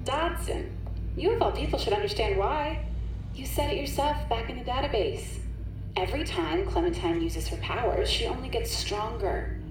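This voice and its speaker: A woman's voice: on the high side, animated, moderate pace, lightly acted. An actress playing a gloating, power-hungry scientist villain in an audio drama, taunting and condescending as she explains, in an echoey space over music. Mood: pointed. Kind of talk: audio fiction acting. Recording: echoey, distant, music underneath.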